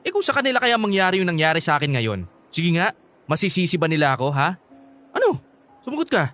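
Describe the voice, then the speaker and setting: A man's voice: on the high side, very animated, fast, a bit bright. Emotional, hot-headed young Filipino man. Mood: angry, confronting. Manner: emotional, stammering when upset. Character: hurt, angry, hot-headed young son and boyfriend. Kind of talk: Filipino radio drama acting.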